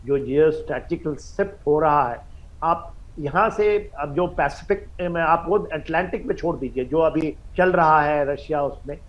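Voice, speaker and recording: Higher-pitched Indian man, halting commentator. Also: muffled recording. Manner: halting, repetitive, mixes in English phrases. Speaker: expert guest commentator. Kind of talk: live news panel analysis.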